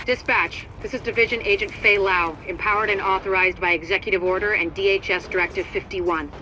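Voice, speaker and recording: A woman voicing a tough, determined field agent in a video game, brisk and blunt as she gives orders. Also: mid-range voice, steady tone, sharp tone, slight background noise, close to an everyday voice, poor audio. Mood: firm, urgent. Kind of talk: video game cutscene acting, mission briefing.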